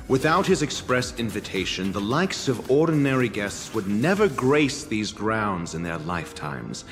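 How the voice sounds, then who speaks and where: Low-voiced, haughty scholar. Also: male voice. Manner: formal, condescending, measured. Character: haughty, cold, scholarly male doctor. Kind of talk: video game voice acting. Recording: long reverb, music behind. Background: game music.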